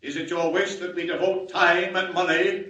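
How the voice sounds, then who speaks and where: A man's voice: sits high, level tone, moderate pace, pushed and bright. An actor playing a stern, formal alien council chairman, declaiming pompously in 1940s radio style. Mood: stern, commanding. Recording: echoey, distant, muffled old radio recording.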